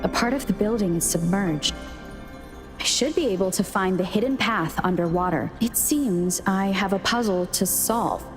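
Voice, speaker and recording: A woman voicing a calm, analytical young adventurer in a video game, musing to herself in a measured, matter-of-fact way. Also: mid-range voice, soft background music, natural voice. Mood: focused, curious. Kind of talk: video game voice acting, inner monologue.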